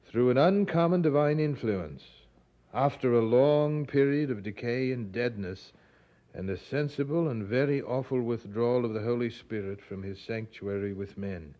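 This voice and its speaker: An actor playing an earnest, gentle young preacher in an audio drama, speaking in formal, measured old-fashioned phrases. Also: male voice, medium pitch, natural voice. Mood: solemn reading. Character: earnest 29-year-old English preacher, gentle, pious, weary. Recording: dull lo-fi audio with background noise.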